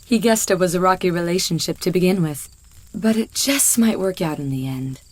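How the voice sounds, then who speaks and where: Lowish-voiced woman narrating a story. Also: moderate pace, no echo.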